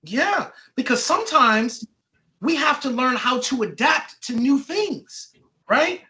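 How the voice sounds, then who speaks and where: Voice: high-pitched, very animated, takes it slowly, pushed and bright. An author reading a children's book aloud and quizzing the children, encouraging them, repeating their answers and checking in with "right?" and "okay". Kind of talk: interactive read-aloud with question and answer for children.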